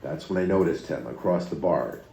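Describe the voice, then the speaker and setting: A man narrating a first-person story from a script in a steady, low delivery, played back from another video. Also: moderate pace, vocal fry. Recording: muffled, roomy playback from another video.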